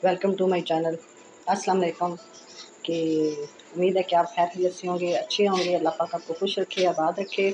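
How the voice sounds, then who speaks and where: A woman hosting a sewing tutorial, speaking in a casual, reassuring way while she explains each step, with a hoarse voice from a sore throat. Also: fairly low pitch, little pitch movement, normal speed, noisy background. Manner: chatty and reassuring, frequent "accha ji".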